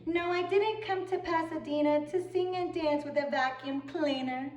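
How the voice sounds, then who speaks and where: Storybook javelina heroine, young female woman reader acting: high, spirited, theatrical exclamations, echoey room. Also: normal speed, noisy background, natural voice. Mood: defiant singing. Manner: dramatic, exclaiming. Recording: roomy, far from the mic.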